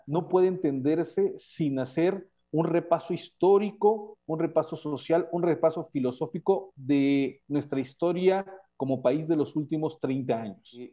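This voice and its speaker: A man's voice: medium pitch, warm tone. A Mexican man speaking as an author, explaining his work in thoughtful, hesitant phrases. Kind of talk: reflective spoken commentary on own work.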